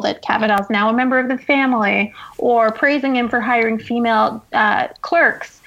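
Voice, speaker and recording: Woman journalist being interviewed, highish voice, explains and analyzes stuff conversationally, kinda dull lo-fi audio. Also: vocal fry, a bit bright. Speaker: journalist guest. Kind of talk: conversational political commentary.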